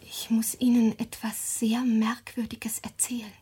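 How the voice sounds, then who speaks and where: A woman playing a frail, mysterious young lady in a German radio drama, speaking in a breathy, languid whisper. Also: fairly high pitch, little pitch movement, a little slow, warm tone. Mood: secretive.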